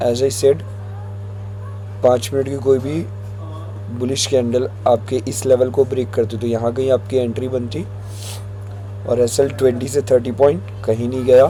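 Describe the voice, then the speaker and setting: A man with a hoarse throat, walking viewers through stock chart levels in a relaxed tutorial, mixing in English phrases. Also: medium pitch, normal speed, pauses often, soft background music, rough recording. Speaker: stock market analyst.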